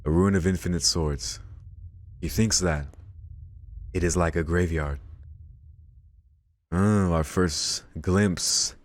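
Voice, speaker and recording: A man hosting a let's play, reading visual novel narration aloud in a measured storytelling way. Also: low-pitched, steady tone, dry recording. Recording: game music behind the voice. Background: game music.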